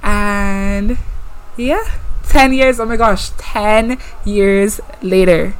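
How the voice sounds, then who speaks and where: A woman's voice: medium pitch, extremely slow, clear, resonant tone, low-quality recording. Chatty young Barbadian woman. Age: 20s. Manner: laughs often, animated storytelling. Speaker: podcast co-host. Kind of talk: casual chat.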